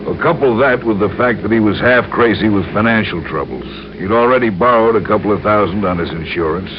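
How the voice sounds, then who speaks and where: An actor playing an earnest male insurance man who explains things deliberately and carefully in an old radio crime drama. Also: fairly low pitch, close to an everyday voice. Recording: muffled, noisy old radio recording.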